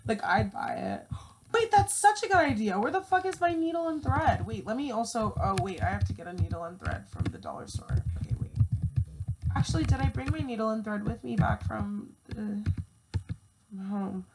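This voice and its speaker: A woman's voice: on the high side, big pitch swings, normal speed, very noisy. A twenty-year-old woman vlogging in her bedroom, rambling and swearing as she thinks aloud. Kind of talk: casual chat to camera. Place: small bedroom, indoors. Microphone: earphone mic, laptop webcam.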